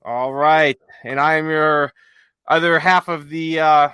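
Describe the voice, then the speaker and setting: A man's voice: a little high, steady tone, slow pace, sharp tone, smooth, no room sound. A man hosting a casual podcast chat with jokey, playful banter, speaking close to the microphone. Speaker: podcast host.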